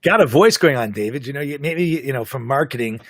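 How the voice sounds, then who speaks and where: A man hosting a live podcast, talkative and self-described as the loudest mouth of the group. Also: mid-range voice, lively intonation, brisk, dry recording. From Canada. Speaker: podcast host. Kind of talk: casual live podcast chat. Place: home in South Florida.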